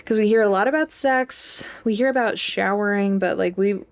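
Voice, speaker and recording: A woman's voice: medium pitch, normal speed, gravelly. A young woman co-hosting a podcast in casual chat, informal and peppering her speech with "like". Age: young adult. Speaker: podcast co-host.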